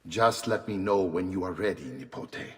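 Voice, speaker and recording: An actor playing an earnest older uncle in a video game, speaking deliberately and with weight. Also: male voice, deep voice, expressive, very noisy. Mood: supportive. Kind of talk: dramatic video game cutscene acting. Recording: long echoey hall reverb.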